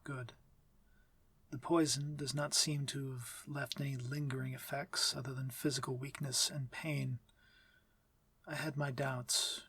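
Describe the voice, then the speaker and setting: Man voicing a husky, commanding vampire lord. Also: medium pitch, a bit bright, no echo. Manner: formal, measured, commanding diction. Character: courtly, aloof but civil male vampire master. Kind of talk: ASMR fantasy audio roleplay. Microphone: close, dry microphone.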